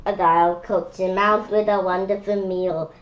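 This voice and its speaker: A woman's voice: medium pitch, steady tone, a little slow, highs cut off. A woman reading a rhyming picture book aloud informally, often stumbling over words and repeating phrases. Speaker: children's book read-aloud reader.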